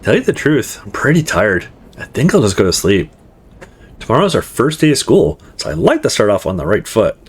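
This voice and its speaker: Man playing an easygoing, absent-minded young student, husky. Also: mid-range voice, big pitch swings, a little fast. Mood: tired, easygoing.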